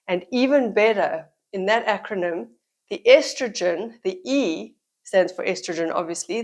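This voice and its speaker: A middle-aged woman with a head cold and a slightly croaky voice, explaining to camera as a health educator and repeating her key words for emphasis. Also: medium pitch, darkish tone.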